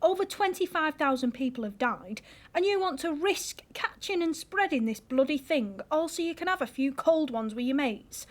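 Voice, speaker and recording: A woman's voice: high-pitched, expressive, moderate pace. A woman playing an indignant young girlfriend in a modern audio drama, blunt and confrontational. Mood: angry, hurt.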